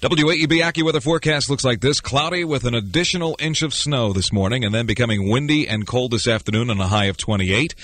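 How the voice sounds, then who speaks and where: A man's voice: mid-range voice, fast talker, few pauses, a bit bright. A man announcing as a morning DJ on 1980s local AM radio, reading notices in a studio. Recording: radio aircheck with music behind.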